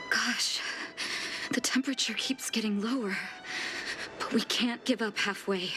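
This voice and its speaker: An actress voicing a composed, determined woman who is a doctor and leader, speaking in a measured, thoughtful way with soft sighs, with game music behind her. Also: fairly high pitch, wildly swooping pitch, background noise, full character voice. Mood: resolute. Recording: studio voice-over with game music.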